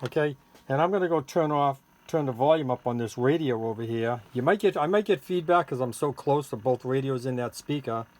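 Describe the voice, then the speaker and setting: Casting: male gadget seller, casual demo style, animated intonation, halting think-aloud delivery, background noise. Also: medium pitch. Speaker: seller demonstrating a homemade electronics gadget. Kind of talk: casual hands-on product demonstration. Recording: clearly noisy, with electronic tweets and siren-like tones.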